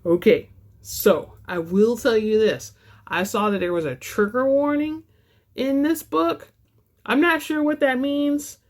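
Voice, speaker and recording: A woman's voice: fairly high pitch, a little slow. A woman hosting a book channel, moving between casual chat to viewers and dramatic reading aloud.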